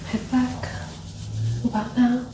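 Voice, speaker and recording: A woman speaks softly as a doctor in an ASMR medical roleplay, often dropping into a whisper as she gently instructs. Her voice sits fairly high. The recording is roomy and a little distant, with clear background noise. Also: flat delivery, takes it slowly. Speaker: ASMR performer playing a doctor doing a medical exam.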